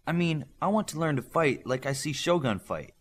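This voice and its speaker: A man's voice: on the high side, expressive, moderate pace, voice pushed up. A man reading an audiobook as an eager, earnest young male superhero trainee who tends to plead. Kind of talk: audiobook character dialogue.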